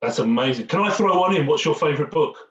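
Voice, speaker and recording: A man's voice: fairly high pitch, animated, quick pace. A man hosting an informal fan Q&A, chatty and jokey with rambling, repeated phrases, on a muffled, echoey, distant recording. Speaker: host of a fan Q&A.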